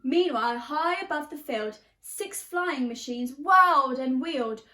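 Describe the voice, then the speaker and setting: A woman with an expressive, chatty and encouraging way of talking, leading and reading aloud for young children as their teacher. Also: sits high, unhurried. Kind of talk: animated storybook read-aloud and guided exercise.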